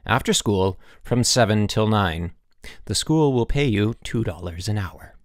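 A man's voice: fairly low pitch, animated, dry recording, clean studio sound. A man voicing a stern, sarcastic headmaster who scolds in snapping, emphatic phrases for an audiobook. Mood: curt sentencing. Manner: snapping, clipped commands, drawn-out emphasis.

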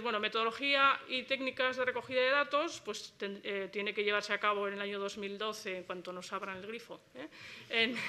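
A woman presenting a research project at an academic conference, brisk, with informal asides and fillers, speaking at a table mic in a lecture hall. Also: medium pitch, bright, forceful tone. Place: lecture hall with a large audience.

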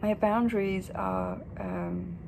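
Soft, reflective young woman vlogging. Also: medium pitch, wildly swooping pitch, slow pace, vocal fry, noisy background. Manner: soft, reflective, hesitant with fillers. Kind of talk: casual vlog talk to camera. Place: hotel room, indoors.

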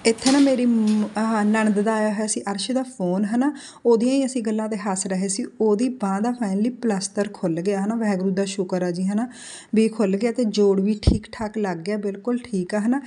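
Woman, 40s, Punjabi accent, home vlog, phone at arm's length, chatty, mellow tone. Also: mid-range voice, steady tone, fairly quick. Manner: chatty, with frequent tag questions. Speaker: vlogger. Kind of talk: casual chat to camera. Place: home, indoors. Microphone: phone held at arm's length.

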